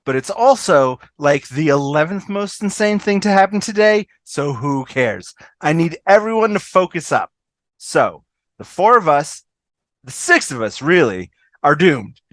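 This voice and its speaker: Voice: mid-range voice, very animated, a little slow, dry recording. An amateur actor on a video call, reading the part of a blunt, irreverent woman who rallies doomed friends. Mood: exasperated, determined. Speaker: amateur table-read actor. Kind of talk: fan table read of TV comedy script.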